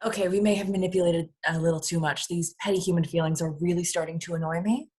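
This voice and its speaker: A woman voicing a bossy, exasperated alien leader with a husky tone, reading from a drama script. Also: fairly low pitch, fairly even intonation, fast talker, a little mellow. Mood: bossy, irritated. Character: exasperated scheming female alien leader studying captured humans. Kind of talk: script read-through.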